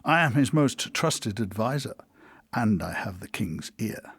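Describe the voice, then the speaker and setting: An actor playing a sly, wry royal advisor who narrates a fantasy audio drama in a measured, courtly, sardonic way. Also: male voice, lowish voice, lively intonation, a bit bright, husky, heavily acted. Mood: wry narrating. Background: music.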